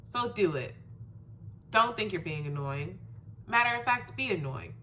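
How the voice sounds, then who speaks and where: Woman w a cold, stuffy nasal voice, kinda bright, chatty numbered tips. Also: mid-range voice, animated, measured pace, slight background noise. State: congested from a cold. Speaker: resume writer giving career tips. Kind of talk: casual how-to advice video.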